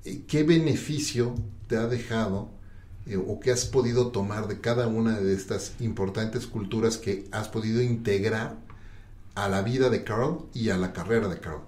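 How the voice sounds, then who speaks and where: Low, mellow-voiced male podcast host. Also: normal speed. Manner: fluent, with filler "eh" and repeated words when starting. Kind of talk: interview host questions. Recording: roomy echo.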